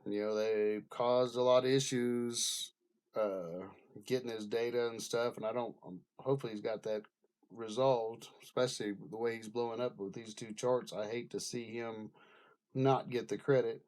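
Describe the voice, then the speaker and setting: Halting, casual male vlogger. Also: medium pitch, steady tone, a little mellow, poor audio. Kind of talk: rambling casual commentary to camera.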